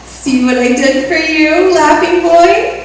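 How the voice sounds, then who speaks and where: Woman playing a high-pitched, dizzy young secretary, echoey hall. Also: takes it slowly. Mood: cheerful, earnest. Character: sweet, naive, scatterbrained young female secretary. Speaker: radio play actor. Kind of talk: old-time radio comedy acting. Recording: very echoey hall, distant, heavy noise.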